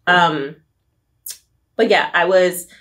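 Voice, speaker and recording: A woman's voice: fairly low pitch, very slow, fairly bright tone. A young woman from Nashville, telling her personal story as a podcast guest, candid and reflective. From Nashville, USA. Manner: candid and reflective, many fillers like "um" and "right?".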